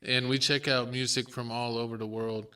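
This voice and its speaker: A man's voice: medium pitch, flat delivery, normal speed, pushed and bright, clean tone, dry recording. A man co-hosting a casual podcast chat in an easygoing way. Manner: easygoing, plugging the channel. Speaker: podcast co-host.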